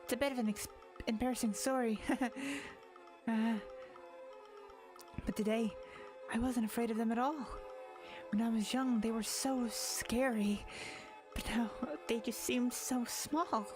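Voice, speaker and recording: A woman's voice: on the high side, fairly even intonation, unhurried, lightly acted. Woman voicing a timid, emotional teenage girl over music. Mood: nervous, giddy. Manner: stammering, pleading, breathy whispers when upset. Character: timid, distraught teenage girl slowly turning giddy. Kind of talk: visual novel fan dub, dramatic reading.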